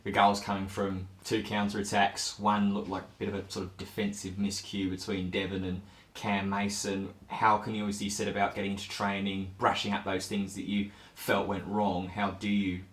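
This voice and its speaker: Male post-match interviewer, deep voice with little intonation, recorded with roomy echo. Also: monotone, normal speed, few pauses, a bit bright. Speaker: sports interviewer.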